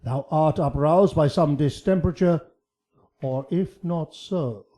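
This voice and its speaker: A man playing an elderly, kindly, pious friar who gently scolds, declaiming in a measured way in a Shakespearean radio drama. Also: a little high, natural voice. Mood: kindly probing.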